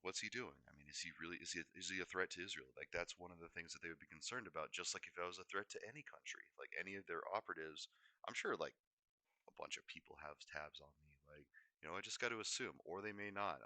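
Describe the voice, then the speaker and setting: A man's voice: sits low, pushed and bright, no echo. A man livestreaming in a rambling, unscripted way, often hesitating, digressing and correcting himself mid-thought. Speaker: livestream host.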